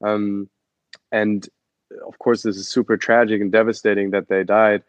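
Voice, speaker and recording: A man's voice: lowish voice, flat delivery, normal speed, no echo, lo-fi sound. A young man from Germany with a German accent, telling his story as an interview guest with many fillers and restarts. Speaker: guest, adventure photographer and expedition guide.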